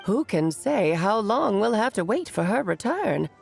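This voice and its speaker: A woman's voice: medium pitch, sing-song, measured pace, gravelly, darkish tone, faint music behind. A woman voicing an elegant, gracious storytelling witch in a fantasy video game, refined and a little theatrical. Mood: gracious, reflective.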